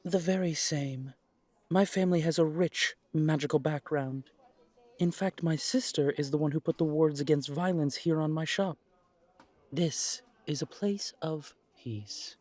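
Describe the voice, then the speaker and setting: A man's voice: on the high side, measured pace, a little mellow. A man playing a polite, composed, peace-loving cafe owner in a fantasy audio drama. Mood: composed, open. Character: Trevelyan, courteous peace-loving cafe owner from a magical family. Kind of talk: audio roleplay drama acting.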